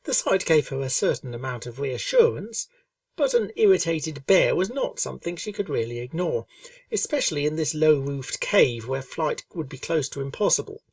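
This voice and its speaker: A woman reads a storybook tale in a low voice with a gravelly edge, her pitch moving a lot. The mic is dry and set a little back from her. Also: lively intonation, normal speed, a little mellow, dry recording, recorded from a distance. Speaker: reader. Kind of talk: storybook narration.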